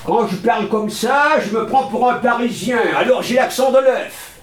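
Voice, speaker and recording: A man with a sunny Southern French accent holds forth on theatre, his voice high and bright in pitch. He talks animatedly and theatrically, often swelling into booming declamation. The sound carries the echo of a reverberant room. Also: moderate pace, faint music behind. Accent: Southern French, Montpellier. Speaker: theatre teacher. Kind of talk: lively talk on theatre to a group.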